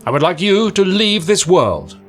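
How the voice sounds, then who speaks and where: A man puts on a defiant old alien traveller for an audiobook reading, lifting his voice well above his own to a sharp, theatrical height. The figure needles and probes with mocking questions. Faint music sits behind the reading. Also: sits high, wildly swooping pitch, moderate pace, full character voice. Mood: calm defiance.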